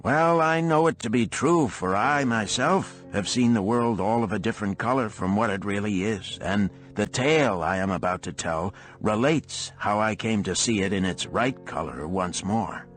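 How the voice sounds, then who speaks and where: A man's voice: fairly low pitch, normal speed, few pauses, a bit bright, faint music behind, pitched above the performer's own voice, natural voice. A man voicing a boisterous, blunt middle-aged camel trader in an audiobook parable, declaiming in archaic phrases. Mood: boastful, storytelling.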